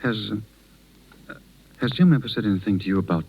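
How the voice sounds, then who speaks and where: Gentle, reserved gentleman, very low voice, halting delivery, muffled vintage radio drama. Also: slightly rough. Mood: tender, hesitant. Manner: hesitant, halting. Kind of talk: 1930s radio drama acting. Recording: muffled 1930s radio broadcast recording.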